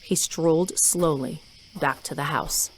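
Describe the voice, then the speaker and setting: Female narrator with a lowish voice telling a scripted story over noisy ambient effects. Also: a little slow.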